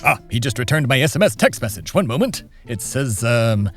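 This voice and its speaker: A man's voice: medium pitch, big pitch swings, brisk, a bit bright, faint music behind. A man playing a pompous, boastful comedy podcast host in an audio drama, speaking formally with hesitant asides. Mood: smug, explaining. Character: Thebadias, pompous, boastful, genteel America-obsessed podcast host.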